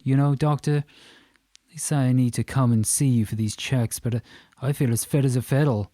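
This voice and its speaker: A man's voice: medium pitch, steady tone, moderate pace, warm tone, no echo, studio quality, lightly acted. A man voicing a boastful, chattering Irishman in his fifties with a menacing streak, in an audiobook. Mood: effusive, jovial. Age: 50s. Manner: chattering, effusive, emphatic. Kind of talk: audiobook character dialogue.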